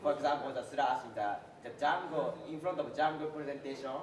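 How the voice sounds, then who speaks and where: A man in his twenties pitching his startup in halting speech, full of fillers and restarts. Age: 20s. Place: large event hall. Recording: roomy hall from far off, crowd noise.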